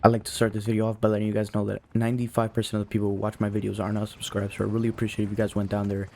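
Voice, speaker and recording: A man's voice: on the low side, monotone, rapid-fire, vocal fry, mellow, a little hiss or hum. A nineteen-year-old man from Cuba giving casual, rambling commentary to viewers in an informal way, peppered with "you know" and "like". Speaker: video creator.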